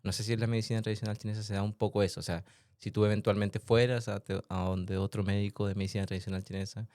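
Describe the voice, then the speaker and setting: A chatty man hosting a podcast, with a very low voice, recorded dry and close. He talks casually and laughs easily between his questions. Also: flat delivery, a little mellow, no room sound. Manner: chatty, laughs often, quick asides. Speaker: podcast host and massage therapist. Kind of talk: casual interview chat.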